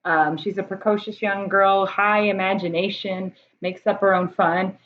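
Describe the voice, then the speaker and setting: A woman's voice: mid-range voice, fairly even intonation, moderate pace. An American woman chatting informally to camera like a teacher presenting books, hesitating and thinking aloud as she explains. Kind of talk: casual explanatory chat to camera. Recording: dull muffled audio, background room noise.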